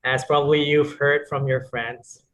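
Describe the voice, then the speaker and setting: A man interviewing a teacher, asking polite questions slowly with hesitations. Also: fairly high pitch, flat delivery, fairly bright tone, poor audio. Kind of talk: speaking test interview.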